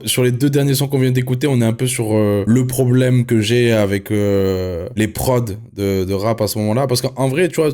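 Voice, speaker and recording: Brief: male live streamer, mid-range voice, crude and chatty, talks straight to chat, flips between low thoughtful musing and loud excited ranting. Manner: swears a lot, addresses chat, jumps from musing to excited ranting; laughs often. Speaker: streamer reviewing an album live. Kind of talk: live reaction stream, casual chat to viewers.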